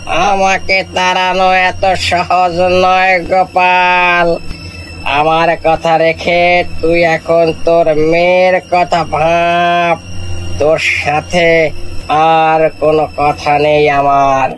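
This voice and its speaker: An actor playing a boastful, menacing fish-eating river ghost in a story cartoon, with drawn-out, taunting threats. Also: male voice, fairly high pitch, completely flat delivery, fairly bright tone, a little hiss or hum, poor audio. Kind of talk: Bengali animated horror storytelling.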